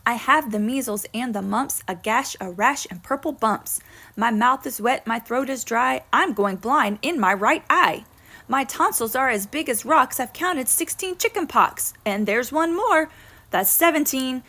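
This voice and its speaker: A woman's voice: high voice, lively intonation, a little slow, pushed and bright, exaggerated voice. A woman voicing a melodramatic little girl who whines and complains with exaggerated emphasis in a children's poem. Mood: whiny, dramatic. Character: whiny, melodramatic little girl faking sick. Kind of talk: children's poem read aloud.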